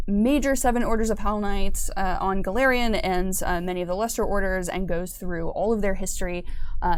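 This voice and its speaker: Woman guest explaining in an interview. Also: medium pitch. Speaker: guest, game developer. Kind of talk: explanatory interview talk on livestream.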